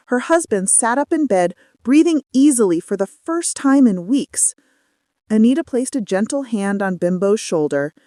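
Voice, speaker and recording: A woman narrating a scripted folktale as a storyteller, with dramatic, measured phrasing. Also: fairly high pitch, darkish tone, dry recording, clean studio sound.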